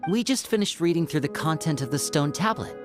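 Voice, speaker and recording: Showy elegant game character, lowish voice, very lively intonation. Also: female voice, a little fast, over music. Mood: wistful, admiring. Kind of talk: video game acting.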